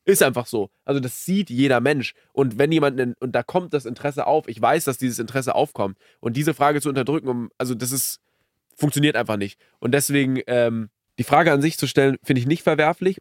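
A man's voice: mid-range voice, a little mellow, dry recording, clean studio sound. A man in his early twenties chatting casually as a podcast co-host, using youthful slang peppered with English loanwords. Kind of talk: casual conversational podcast chat.

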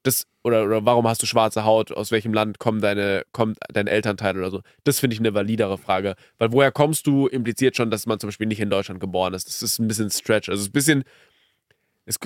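A man's voice: lowish voice, clear, resonant tone, dry recording, studio quality. A man in his early twenties chatting casually as a podcast co-host, using youthful slang peppered with English loanwords. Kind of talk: casual conversational podcast chat.

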